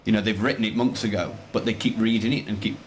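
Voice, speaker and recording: A man's voice: lowish voice, fairly even intonation, fast talker, slight background noise. A man speaking as a teacher in an interview, enthusiastic and rambling, often repeating his phrases. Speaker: primary school teacher, interview guest. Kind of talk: conversational interview answers.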